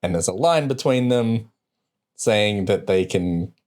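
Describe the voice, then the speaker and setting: A man with a fairly low voice chats casually as a podcast co-host. He pauses and restarts as he goes, with a dryly ironic touch. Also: expressive, a little mellow. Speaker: co-host of a tech news podcast. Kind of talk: casual chat.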